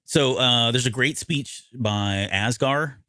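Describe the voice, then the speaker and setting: A man co-hosting a podcast, recapping a story in casual, halting conversation and often correcting himself. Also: mid-range voice, bright, forceful tone, no room sound, clean studio sound. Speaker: podcast co-host. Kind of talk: casual discussion recapping an audio drama.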